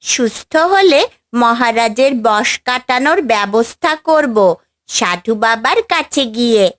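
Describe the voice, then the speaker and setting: A woman voicing a caring, loyal female parrot in a fairy-tale cartoon, coaxing gently. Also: a little high, expressive, measured pace, dry recording, clean studio sound. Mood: soothing. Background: background music.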